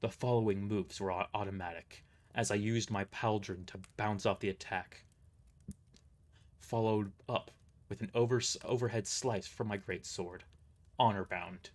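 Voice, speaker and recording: A man narrating a first-person dramatic story as a live reader, occasionally stumbling over a word. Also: fairly low pitch.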